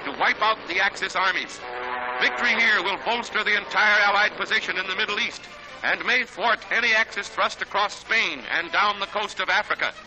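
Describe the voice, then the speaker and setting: A man's voice: high voice, fairly quick, rough recording. An American man narrating a 1940s newsreel in a dramatic, declamatory manner. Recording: 1940s newsreel soundtrack with loud music.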